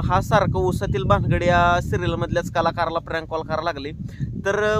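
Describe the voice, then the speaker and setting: Guy w/ rural maharashtra accent, really high voice, chatty prank show host talking to his subscribers. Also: noisy background. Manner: colloquial, addresses viewers directly. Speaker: prank-call channel host. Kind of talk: casual chatty vlog narration.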